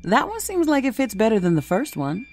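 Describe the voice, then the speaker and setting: An actress playing a tactful, gently probing woman in an audio drama. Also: a little high, huge pitch swings, fairly quick, soft background music. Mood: light teasing.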